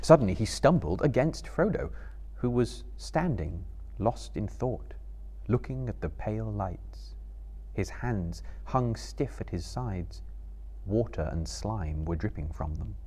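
A man in his thirties giving a dramatic literary narration to a live audience, with measured, solemn storytelling. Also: fairly low pitch, lively intonation, dark tone. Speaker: reader performing for a live audience. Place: small indoor stage before an audience.

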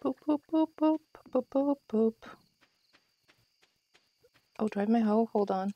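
A woman's voice: fairly high pitch, measured pace, dry recording, highs cut off, soft background music. A woman giving casual live commentary as she streams a game, playfully talking to herself and her viewers.

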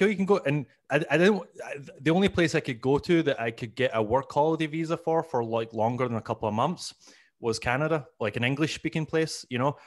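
A man in his thirties from Scotland with a Glaswegian accent, a comedian guest telling self-mocking stories in a casual interview, speaking into a studio microphone at home. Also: medium pitch. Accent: Glaswegian Scottish. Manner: rambling, self-mocking, acts out his past self. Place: small room at home, video call. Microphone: studio mic on boom arm.

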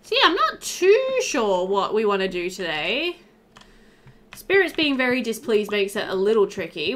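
A woman's voice: mid-range voice, a bit bright, slight background noise. A young woman doing casual, chatty let's play commentary, thinking aloud as she plays, indoors at a computer. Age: young adult. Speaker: gaming video host.

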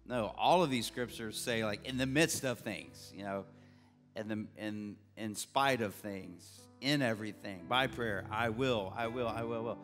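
A man's voice: fairly low pitch, a little slow, a bit bright. A middle-aged man, a pastor preaching a conversational sermon from a stage with a handheld mic, earnest and building to emphatic bursts. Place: large church stage. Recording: long reverb of a church hall, faint music.

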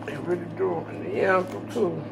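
A woman's voice: on the low side, steady tone, moderate pace, creaky. A woman off camera bluntly chatting back during a family dinner. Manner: blunt, talks back from across the room. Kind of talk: casual chat. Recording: distant, noisy home recording.

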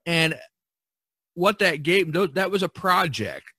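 A man's voice: on the high side, expressive, slow pace, pushed and bright, husky. A man hosting a casual podcast, giving advice in a rambling, folksy way full of "you know". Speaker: podcast host and painter. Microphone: video call recording.

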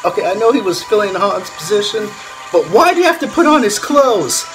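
A man's voice: sits high, sing-song, brisk. A man in his thirties giving casual, exclamatory commentary as he reacts to a video, often sounding incredulous. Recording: music playing behind. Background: soundtrack of the watched video.

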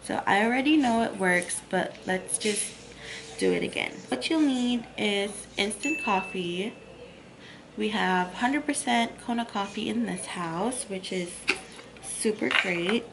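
Chatty woman vlogger, slow and easy. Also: mid-range voice, vocal fry, background noise. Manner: chatty, upbeat, relaxed. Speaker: lifestyle vlogger. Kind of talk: casual tutorial vlog. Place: home bedroom and kitchen.

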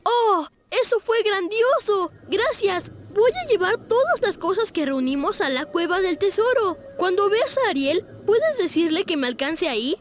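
A woman voicing a timid, sweet, childlike male fish in an animated game, hesitant and earnest. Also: very high voice, measured pace, few pauses, full character voice. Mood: eager, grateful. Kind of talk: animated video game voice acting.

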